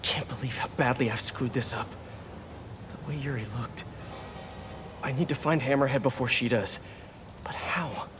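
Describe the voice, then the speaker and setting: A man's voice: mid-range voice, normal speed, pauses often, background noise, lightly acted. A man voicing an earnest, wisecracking young American masked hero in a video game, muttering quips to himself in a naturalistic way. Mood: troubled, regretful. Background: music.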